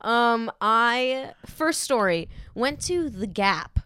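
A young woman in college, a guest on a podcast, telling stories in a casual chat, bubbly and quick to giggle. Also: a little high, animated, sharp tone, clear, resonant tone, no echo. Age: young adult. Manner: giggly, bubbly storytelling with frequent laughs.

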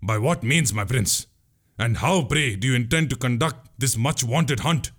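A man's voice: medium pitch, expressive, normal speed, a bit bright, slightly rough. A man playing a sceptical military commander in a historical tale, formal and mocking in manner.

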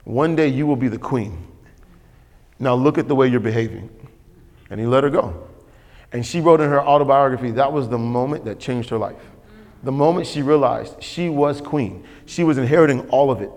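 A man's voice: medium pitch, normal speed, darkish tone. A man preaching a sermon as pastor, emphatic and repetitive, calling for responses from the congregation. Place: church sanctuary. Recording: long hall reverb.

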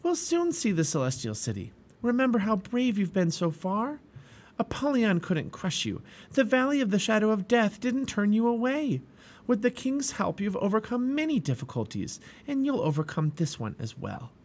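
A gentle, hopeful young boy, as a man puts him on for a storybook. His voice is high and soft. It rises and falls very freely. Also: big pitch swings, normal speed, heavily acted. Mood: encouraging.

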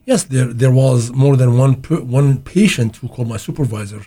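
Middle-aged Kurdish-accented man, warm low-key tone, talks haltingly with restarts. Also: slightly rough. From Iraqi Kurdistan. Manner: halting, many uh fillers and restarts. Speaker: guest, hospital interpreter. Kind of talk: casual interview talk.

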